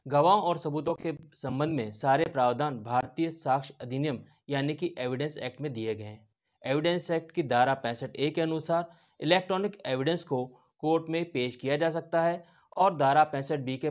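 A middle-aged man speaks in a slightly raised pitch. He explains in a formal, measured, teacherly way, like an advocate presenting a lesson. The lapel-mic recording is a touch dull. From India. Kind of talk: explanatory legal lesson to camera. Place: small indoor office with books and curtains.

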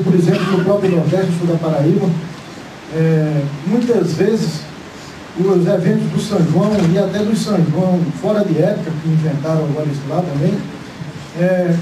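A man's voice: high voice, mellow, distant mic, slight background noise. A middle-aged man in an audience speaks earnestly and with indignation into a handheld mic in a large auditorium. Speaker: audience member asking a question. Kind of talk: public question at a lecture. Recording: echoey hall, taped from afar.

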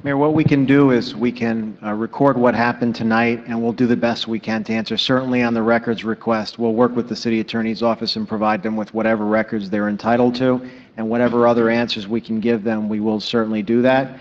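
A man serving as city manager, replying formally and calmly at a council meeting. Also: mid-range voice. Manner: measured, formal, reassuring. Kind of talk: official response. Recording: dull meeting audio with long hall reverb.

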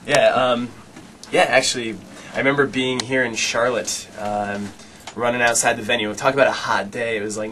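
A man's voice: on the low side, fairly bright tone, slight background noise. A man in his thirties, a band member in a radio interview, talking in an easygoing, joking way. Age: 30s. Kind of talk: casual interview talk. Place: radio studio.

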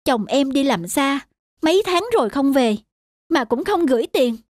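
A woman's voice: sits high, animated, moderate pace, no echo, studio quality. A woman voicing a young mother in a cartoon, speaking in a polite, apologetic way. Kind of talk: animated cartoon voice acting.

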